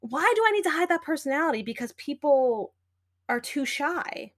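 A young adult woman hosting a solo podcast, talking in a loud, animated, emphatic way with plenty of fillers. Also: sits high, normal speed. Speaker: podcast host. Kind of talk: casual solo podcast talk.

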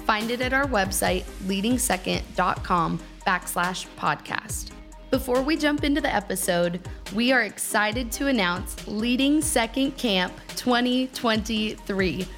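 A woman reading a scripted podcast introduction in a bright, promotional way. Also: on the high side, a little slow, faint music behind. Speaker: podcast announcer.

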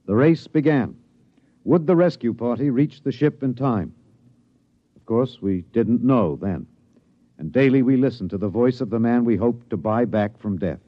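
An actor playing an earnest newsman, narrating an old-time radio drama in a dramatic, deliberate way. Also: mid-range voice, dry recording, natural voice. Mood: grave, suspenseful. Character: earnest male newsman recounting a tense space rescue. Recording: dry, dull vintage radio recording.

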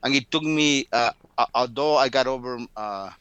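A man's voice: medium pitch, moderate pace, a bit bright, clean tone, dry recording, lo-fi sound. Guatemalan-accented man in his fifties, dry sound. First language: Spanish. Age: 50s. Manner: occasional stutter, repeating words. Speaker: storyteller, interviewee. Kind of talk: reflective personal interview answers.

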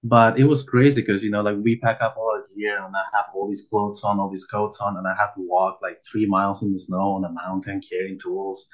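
Hombre joven de voz algo grave, relajado. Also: male voice, lowish voice, brisk, hardly any pauses, rough recording. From Peru. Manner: relaxed, rambling with many fillers. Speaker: guest, nonprofit founder. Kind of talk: casual interview chat. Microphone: video call.

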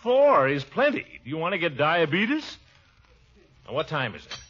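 Blustery pompous uncle, swooping voice. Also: male voice, a little high, sing-song, normal speed, a bit bright, slightly rough, exaggerated voice. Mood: indignant. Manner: blustery, theatrical, swooping delivery. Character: pompous, blustery, big-hearted middle-aged uncle and guardian. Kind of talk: 1940s radio comedy acting. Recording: muffled old radio broadcast.

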